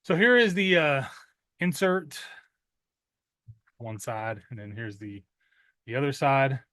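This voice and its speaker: Male in his thirties, average pitch, casual rambling record-haul talker, recorded dry and close. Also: lively intonation, takes it slowly, fairly bright tone, dry recording. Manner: rambling with many "uh" fillers, clears throat often. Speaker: metal music vlogger. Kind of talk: casual unboxing chat.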